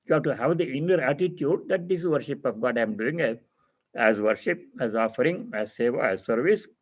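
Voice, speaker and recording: Man giving a measured spiritual discourse. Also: mid-range voice, lively intonation. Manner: didactic, repeats phrases for emphasis. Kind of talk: spiritual discourse, reading and explaining scripture.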